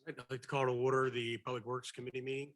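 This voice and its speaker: A man with a slight cold and a raspy voice, chairing a committee meeting in a plain, somewhat halting manner. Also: medium pitch, little pitch movement. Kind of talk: formal meeting procedure.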